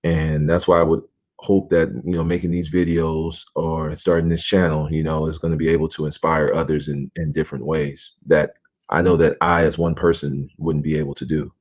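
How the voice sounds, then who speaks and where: A young man with a very low voice talks in a relaxed, earnest way. He fills his sentences with "you know" as he co-hosts a casual chat. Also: normal speed. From Nigeria, raised in Houston. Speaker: channel co-host, professional basketball player.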